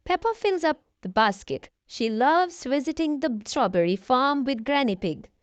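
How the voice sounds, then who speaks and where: A woman reading a children's storybook aloud, addressing young listeners in an expressive storytelling manner. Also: high-pitched, normal speed, no echo, lo-fi sound. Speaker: children's storybook reader, channel host.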